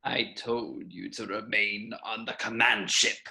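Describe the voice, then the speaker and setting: Sinister aged ruler, deep and very slow, commanding, amateur script read. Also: male voice, steady tone, bright, forceful tone, exaggerated voice. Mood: cold, scheming. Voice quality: gravelly, creaky. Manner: slow, deliberate, menacing. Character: sinister, commanding old male Emperor, slow and scheming. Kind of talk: amateur table read acting. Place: remote video call from home.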